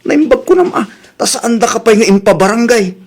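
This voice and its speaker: A man's voice: very high voice, expressive, a little fast, heavily acted. A man playing an exasperated, blaming husband who nags and reproaches in a comedy drama.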